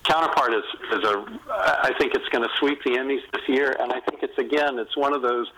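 Guy being interviewed on a podcast, bright forward voice, candid author chatting and telling stories. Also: medium pitch, fairly quick, low-quality recording. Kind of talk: conversational interview, candid storytelling.

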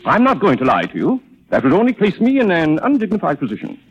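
An actor playing a suave, evasive philandering gentleman in a 1930s radio drama, smooth-talking, pompous and hesitant. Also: sits high, huge pitch swings, quick pace, soft background music. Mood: placating, self-satisfied. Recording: muffled old 1930s radio recording.

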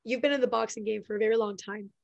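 A woman hosts a relaxed interview, her voice slightly raspy from allergies. She sits a touch higher in pitch than most women and keeps things chatty and upbeat, laughing easily. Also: very fast, dull audio. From Canada. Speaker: podcast host. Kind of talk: casual interview chat.

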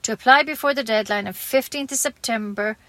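A dry, close recording of an Irish woman reading community radio news. Her voice sits a little high, and she delivers scripted bulletins as a local newsreader. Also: fairly quick, bright, forceful tone, no room sound.

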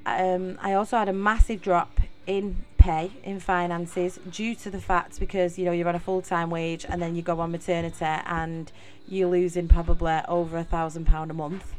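A woman's voice: lowish voice, flat delivery, normal speed, darkish tone. A young woman with a Mancunian accent hosting her own podcast, talking in a chatty, rambling way with many fillers and restarts. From Manchester, England. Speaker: podcast host. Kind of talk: casual solo podcast monologue.

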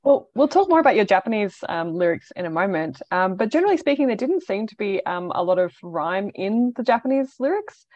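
A woman's voice: mid-range voice, very animated, brisk, hardly any pauses, a little mellow, no echo. A female podcast co-host with a mid-range voice. She reads out careful, prepared questions with frequent hesitations. Her audio is dry and dull, with no room sound. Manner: careful, many "um"s while reading prepared questions.

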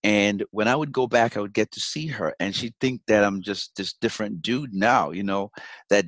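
A man's voice: fairly low pitch, moderate pace, fairly bright tone, no echo. A man in his forties from Jamaica, with a slight Jamaican accent, telling his story as an interview guest in a rambling way with many ums and restarts. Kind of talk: casual storytelling.

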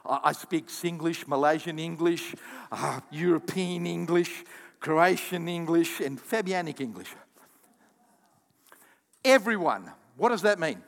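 A man preaches to his congregation in a high voice that leaps up and down with energy. He calls on the crowd to repeat words and drops in quick asides as he goes. His voice rings with a long reverb through the hall. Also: creaky. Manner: animated preaching with audience call-outs and asides. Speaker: pastor. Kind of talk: sermon.

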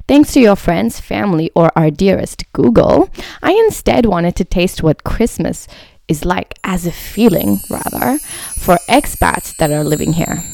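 Upbeat podcast hostess with a creaky edge. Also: female voice, mid-range voice, darkish tone, no room sound. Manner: upbeat, welcoming, drops French phrases. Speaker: podcast host. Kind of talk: scripted podcast intro, then interviewing.

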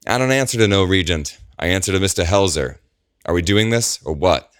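A man voicing a dispassionate armed male officer who talks back flatly. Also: low voice, brisk, no room sound, studio quality, voice pushed up. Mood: defiant. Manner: flat, defiant.

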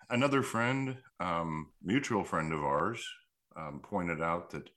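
A man hosting a casual discussion, talking in a measured, thoughtful way with many pauses. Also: deep voice, a bit bright.

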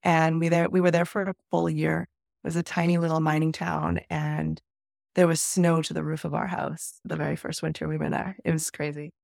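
A middle-aged Canadian woman, a native Spanish speaker, tells personal stories in an interview. Her voice has a noticeable vocal fry and sits close and dry on the recording. She phrases things in a measured, reflective way. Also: deep voice, flat delivery, a little mellow, dry recording. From northern Alberta, Canada, born in Peru. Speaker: podcast guest.

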